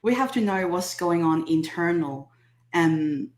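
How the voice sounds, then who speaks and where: A woman in her thirties with a Hong Kong accent, a native Cantonese speaker telling her personal story in a soft, measured way as an interview guest. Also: on the low side, lively intonation, reverberant. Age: 30s. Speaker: guest, subconscious healing specialist.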